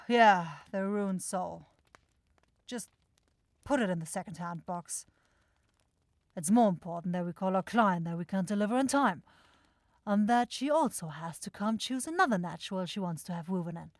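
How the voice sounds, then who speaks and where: A woman's voice: medium pitch, expressive, normal speed, pauses often, no room sound, lightly acted. Woman acting brusque demon shop boss, very dry close sound. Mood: weary, bossy. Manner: brusque, exasperated, sarcastic. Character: bossy, grumbling demon woman shopkeeper, sweary supervisor. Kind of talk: fantasy audio roleplay acting.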